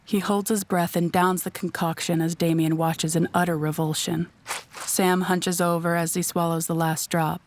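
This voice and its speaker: A woman's voice: on the low side, flat delivery, moderate pace. A woman narrating a scripted audio drama in a descriptive storytelling manner.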